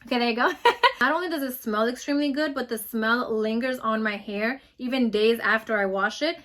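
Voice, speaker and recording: A woman in her twenties with a New York accent, chatting quickly and bubbly through a casual product review vlog. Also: fairly high pitch, fairly bright tone. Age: 20s. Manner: fast-talking, bubbly and emphatic. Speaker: beauty vlogger.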